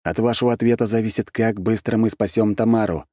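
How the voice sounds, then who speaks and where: Audiobook hero man acting: impatient, commanding archmage, low voice, clipped manner, close dry recording. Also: fairly even intonation, brisk, warm tone, no room sound, lightly acted. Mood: stern, urgent. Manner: curt, commanding. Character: stern, commanding male archmage hero, impatient and dryly sarcastic. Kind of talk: audiobook character voice.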